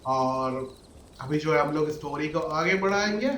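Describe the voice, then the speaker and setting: Very fast-talking Indian man, echoey room. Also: fairly high pitch, lively intonation, fairly bright tone, slight background noise. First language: Hindi. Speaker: gaming YouTuber, host. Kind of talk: casual gameplay commentary. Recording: very echoey room, music behind.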